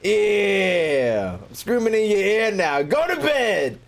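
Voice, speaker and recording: A man's voice: high voice, sing-song, slow, creaky. A man giving casual live gameplay commentary as a streamer, slangy, profane and joking. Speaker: game streamer.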